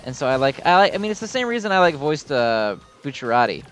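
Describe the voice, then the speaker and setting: A man's voice: fairly high pitch, big pitch swings, fast talker. A man giving casual, unscripted gameplay commentary in a rambling, informal, self-deprecating way. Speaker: gaming video host.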